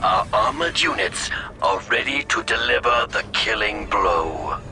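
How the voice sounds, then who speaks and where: A man's voice: on the low side, lively intonation, a little slow, music in the background. A man voicing a loyal alien military commander in a sci-fi strategy game, reporting formally and deferentially. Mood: resolute.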